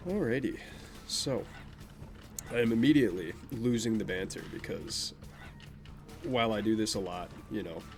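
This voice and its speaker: A man's voice: lowish voice, normal speed, long pauses. Nasal young man rambling over gameplay. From Vermont, USA. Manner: rambling, self-deprecating, offhand. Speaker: gameplay commentator. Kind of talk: casual gameplay commentary. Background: game music.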